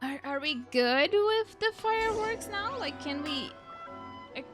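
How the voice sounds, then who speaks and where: A woman is commenting casually on a game as she streams it. Her voice is high and animated. She talks back to the characters informally, with soft game music behind. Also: measured pace.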